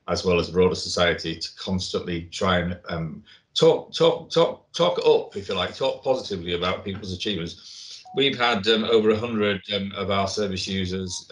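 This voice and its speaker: A chief executive speaking in a webinar over a video call, halting with many ums and restarts. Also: male voice, sits low, a bit bright. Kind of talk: webinar talk.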